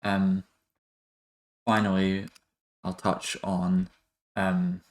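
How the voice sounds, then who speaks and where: Low-pitched, monotone man giving a tutorial. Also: extremely slow. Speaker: music production tutorial host. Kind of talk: casual software tutorial narration.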